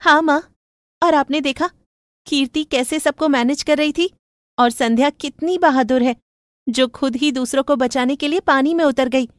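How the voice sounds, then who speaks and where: A woman voicing a spirited, modern-minded young sister in an animated moral story, speaking in an eager, insistent, persuasive manner. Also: sits high, moderate pace, dry recording, studio quality, voice pushed up, close to an everyday voice. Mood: admiring.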